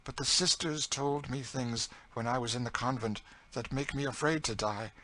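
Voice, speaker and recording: A man's voice: mid-range voice, normal speed, pushed and bright, no echo, voice pushed up, natural voice. A man voicing a bitter, despairing, world-weary enslaved woman in an audiobook, with a dry, emphatic delivery. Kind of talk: dramatised audiobook reading of a classic novel.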